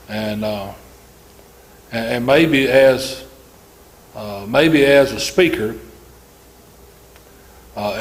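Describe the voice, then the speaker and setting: A man preaching an informal sermon to his congregation, loud-voiced and folksy, talking in a relaxed conversational way with frequent fillers. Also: mid-range voice, low-quality recording.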